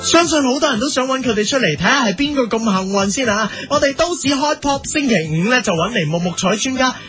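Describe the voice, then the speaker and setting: A man playing a gossipy, money-minded presenter on a comedy talk show, with animated, exaggerated reactions. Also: very high-pitched, fast, over music. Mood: chirpy, gossipy. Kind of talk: parody talk show interviewing.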